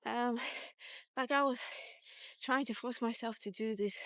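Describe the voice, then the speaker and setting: A middle-aged woman, not a native English speaker, with an accent mixing Irish and British influences, thinking aloud in short, halting fragments in a casual vlog monologue. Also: fairly high pitch, steady tone, a bit bright, no echo. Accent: non-native English with Irish and British influences. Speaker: vlogger.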